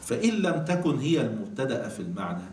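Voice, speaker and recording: A man with an Egyptian Arabic accent teaching a classical grammar text. He explains patiently, reads quotations slowly and answers his own questions. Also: medium pitch, very animated, darkish tone. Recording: echoey room, voice from a distance, faint noise.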